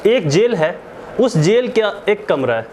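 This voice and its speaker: A man with a Pakistani accent lectures in a clearly high-pitched voice. He is didactic, going back over terms and rewording them, switching into English for technical phrases. A faint layer of background noise sits under the recording. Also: very animated, a little slow, darkish tone. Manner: didactic, repeats and rephrases key terms. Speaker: law lecturer. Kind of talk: explanatory lecture mixing Urdu with English terms.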